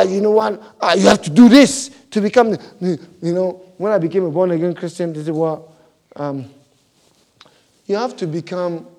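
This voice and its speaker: Animated pastor with a non-native English accent. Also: male voice, high-pitched. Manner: animated, laughing storyteller, big swings in loudness. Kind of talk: sermon.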